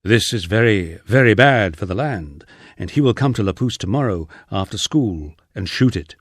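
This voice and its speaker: A man's voice: lowish voice, animated, normal speed, dry recording, studio quality. A man voicing a grave, sepulchral French café owner in an audiobook. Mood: grave, ominous. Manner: sepulchral, solemn. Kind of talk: audiobook character voice.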